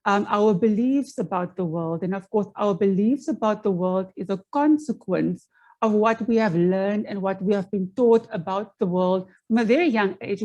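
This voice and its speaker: A woman's voice: mid-range voice, a little mellow. Earnest South African woman presenting online. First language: Afrikaans. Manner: earnest, measured, with frequent um fillers and restarts. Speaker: panel presenter. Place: home. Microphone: video call from home.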